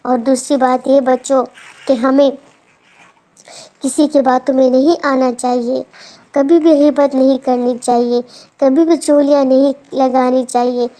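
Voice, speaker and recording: A woman's voice: sits high, level tone, measured pace, dark tone. A woman telling a moral story to children in an instructive way, addressing them directly and repeating phrases for emphasis.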